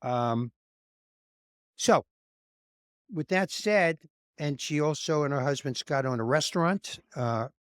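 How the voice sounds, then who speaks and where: A man's voice: fairly high pitch, takes it slowly, pauses often, a bit bright, smooth, no room sound, studio quality. A man from New York hosting a podcast interview, asking questions in a loose, rambling way. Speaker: podcast host. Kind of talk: casual interview.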